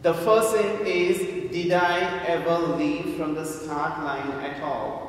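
A man delivers a homily at a very slow, deliberate pace, leaning on repetition and rhetorical questions. He sounds far from the microphone in a large church, with a long, heavy echo around his words. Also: fairly even intonation. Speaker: priest preaching. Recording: echoey church, distant mic, faint rumbling noise.

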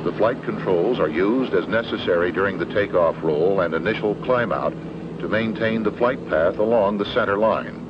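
Low-voiced American training film narrator, male. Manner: measured, matter-of-fact, formal. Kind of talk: scripted instructional narration, 1970s training film. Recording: dull, noisy old 16mm film soundtrack.

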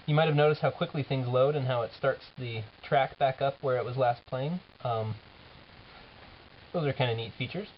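A man giving an informal software demo as the app's developer, talking casually and haltingly as he thinks aloud. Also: mid-range voice, a little hiss or hum. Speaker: app developer presenting a demo. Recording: dull lo-fi camera audio, music behind.

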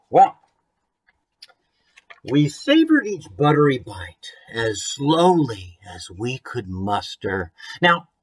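American guy in his 30s reading a picture book to kids, super up and down voice, asks lots of questions. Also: mid-range voice. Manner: expressive, asks listeners questions. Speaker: children's author reading own book to viewers. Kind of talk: animated children's story time reading with chatty asides. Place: small room at home, indoors.

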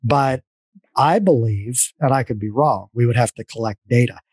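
A middle-aged man hosts a casual podcast over a video call, talking through long stories full of restarts and asides. His voice sits at a middle pitch and sounds close and dry through his headset. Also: moderate pace, breathy edge, no room sound. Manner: rambling, animated storytelling with restarts. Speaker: podcast host. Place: dim home room.

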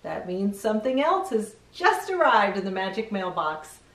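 A middle-aged woman hosting a children's read-aloud, expressive, reading picture-book lines and chatting to young viewers with questions. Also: mid-range voice, normal speed. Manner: expressive, addressing small children with questions and exclamations. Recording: roomy echo, distant microphone.

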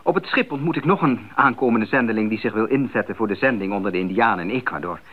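An actor playing a determined, curious young male missionary in a 1980s radio drama, with earnest, clear phrasing. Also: on the low side, fast talker, natural voice. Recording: narrow-band 1980s radio recording, faint noise.

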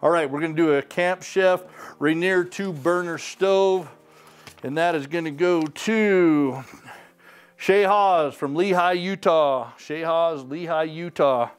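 A man's voice: fairly high pitch, slow, smooth. Middle-aged male host, upbeat and off the cuff, calling raffle winners in a small studio. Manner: upbeat, off-the-cuff, reads names and towns. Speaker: sweepstakes host. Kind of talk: casual prize drawing announcement. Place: indoor studio with wood walls and mounted trophies.

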